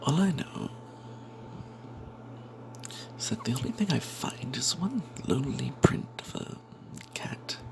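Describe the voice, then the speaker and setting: A man voicing a roguish, money-minded cleric who tells a fantasy tale in breathy, confiding whispers with snide asides. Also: mid-range voice, animated, slow, pauses often, background noise. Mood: sly, wary. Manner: confiding, hesitant, sardonic asides. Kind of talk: first-person fantasy tale told in whispers, ASMR.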